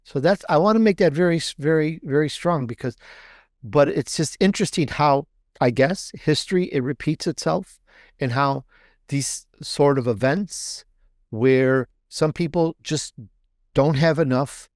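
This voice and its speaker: A man's voice: a little high, unhurried, a little mellow, dry recording. Man chatting casually, dry close sound. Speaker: podcaster and author guest. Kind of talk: casual interview talk.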